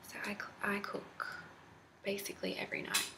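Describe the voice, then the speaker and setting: A woman with an Australian accent whispering softly into a close mic for an ASMR talking video, rambling with frequent hesitant ums. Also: medium pitch, moderate pace, vocal fry. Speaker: ASMR creator. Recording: steady background noise.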